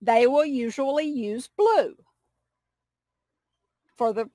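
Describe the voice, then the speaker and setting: Older kentucky woman on a headset video call, slow high voice, dry sound, patient explainer. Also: animated, no room sound. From United States. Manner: warm, explaining slowly with restarts. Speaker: English conversation partner. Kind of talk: casual video call chat. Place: home room indoors.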